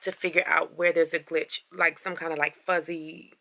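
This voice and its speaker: A woman vlogging in a casual, rambling way, with a raspy deep voice after a late night and lots of fillers. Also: little pitch movement, moderate pace, pushed and bright. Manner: rambling, many fillers and restarts. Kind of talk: casual vlog chat.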